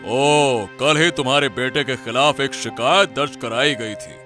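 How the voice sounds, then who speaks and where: A man's voice: medium pitch, a little slow, sharp tone. A man voicing an authoritative cartoon king who questions and gives orders. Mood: concerned. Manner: commanding, questioning. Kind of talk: animated Hindi cartoon story acting. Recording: music under the voice.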